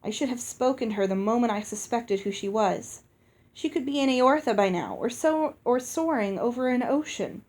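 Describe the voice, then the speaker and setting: Higher-voiced woman reading a storybook. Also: animated, moderate pace, a little mellow. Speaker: audiobook reader. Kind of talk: scripted storybook narration, first person.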